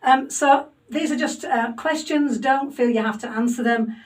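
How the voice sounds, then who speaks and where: A woman's voice: sits high, normal speed, far from the mic. A woman hosting a homemade podcast interview in her loft, chatty and self-deprecating with plenty of fillers. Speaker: podcast host. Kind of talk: casual interview chat. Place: home loft.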